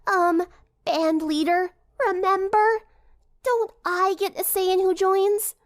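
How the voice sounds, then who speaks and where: Casting: loud, boastful tomboy girl played by a woman, pitched well up, huffy and cocky, for audiobook drama. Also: fairly even intonation, takes it slowly. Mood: indignant.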